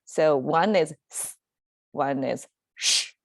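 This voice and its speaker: A woman's voice: sits low, slow pace, gravelly, no room sound, clean studio sound. A woman with a Chinese accent hosting a casual language lesson, talking with many fillers and restarts. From Chinese-speaking. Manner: hesitant, lots of fillers and restarts, laughs easily. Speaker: language teacher and show host. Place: home in Southern California.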